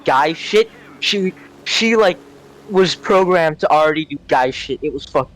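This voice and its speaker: A man's voice: a little high, expressive, a little slow, fairly bright tone, poor audio. A young man chatting casually and telling stories, rambling, searching for words and swearing freely. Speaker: storyteller on a gaming channel. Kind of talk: casual storytelling chat. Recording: clear vehicle-like background noise.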